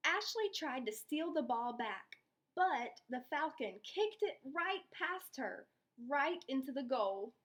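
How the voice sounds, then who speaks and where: A woman with a high voice reads aloud as an elementary school teacher. She takes her time, moving slowly and deliberately as she explains each step. Her pitch moves around a lot, giving the storytelling an animated lift.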